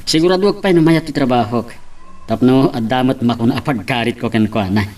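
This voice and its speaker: Man acting a mid-pitched Ilocano buddy. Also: a little fast, a little mellow, distant mic, a little hiss or hum, natural voice. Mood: casual. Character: young man, buddy and friendly love rival. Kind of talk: Ilocano radio-style love drama acting.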